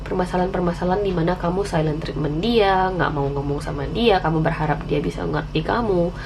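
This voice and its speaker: A woman's voice: on the low side, a little fast, gravelly, noisy background. An Indonesian woman with the flu and a candy in her mouth, giving a casual, candid tarot reading to viewers and dropping in English phrases. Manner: chatty, candid, mixing in English slang phrases. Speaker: tarot reader, channel host.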